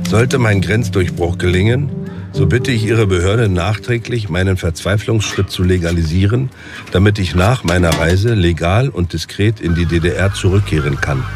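Actor doing a wistful restless guy, really deep voice, music running under it. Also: normal speed, close to an everyday voice. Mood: formal. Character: restless East German man dreaming of travel. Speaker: film character in clips. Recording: film clip with music underneath.

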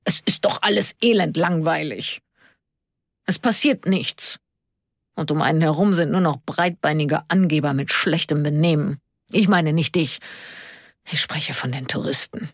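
A woman plays a retired policeman, older, stubborn and easily indignant. The voice is husky and sits low for a female reader, in a dry, close audiobook sound. He grumbles and orders impatiently. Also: measured pace, no room sound, exaggerated voice. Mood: bored, grumbling. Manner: complaining, impatient, commanding. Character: grumpy, hot-headed retired male police officer, indignant and stubborn. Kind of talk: audiobook character dialogue.

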